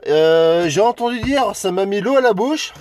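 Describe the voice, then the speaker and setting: A man's voice: high-pitched, animated, a little slow, a little mellow, no echo. A man chatting casually and in a friendly way as an amateur CB radio operator. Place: outdoors on high ground at the radio operator's own end.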